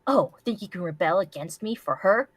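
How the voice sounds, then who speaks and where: A woman's voice: on the low side, lively intonation, brisk, husky, dry recording. A woman voicing a vengeful, melodramatic jilted unicorn pony from a fan story. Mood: cold challenge. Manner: melodramatic, menacing.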